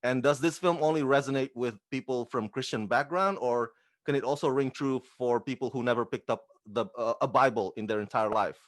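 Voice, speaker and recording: A man hosting a film interview, putting prepared questions to his guests in a deliberate, courteous way. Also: medium pitch, no room sound.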